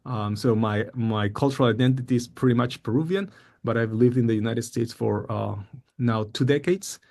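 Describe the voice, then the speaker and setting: A middle-aged man from Peru giving an academic presentation on his research project, halting often with fillers and repeated words. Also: mid-range voice, gravelly, darkish tone.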